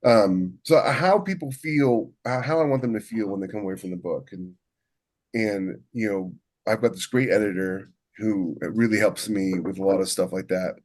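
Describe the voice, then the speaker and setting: A man chatting casually as a podcast co-host and writer, halting and restarting as he thinks aloud. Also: on the low side, a little mellow. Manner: halting, thinks aloud with many repeated false starts. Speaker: podcast co-host and science fiction author. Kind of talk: casual chat about book writing.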